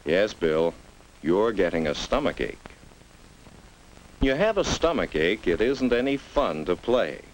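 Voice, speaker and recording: American guy doing 50s school film narration, low voice, talks to kids in a teachy storytelling way, noisy old soundtrack. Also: very animated, unhurried, long pauses. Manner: didactic, storytelling, with rhetorical questions. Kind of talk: 1950s instructional film narration, scripted, addressed to children.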